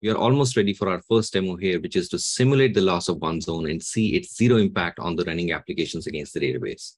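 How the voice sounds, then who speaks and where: Deep voiced guy in his 40s talking thru a software demo step by step, dry sound. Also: fast, no echo. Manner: explanatory, step by step. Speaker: demo presenter. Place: indoor room, webcam recording.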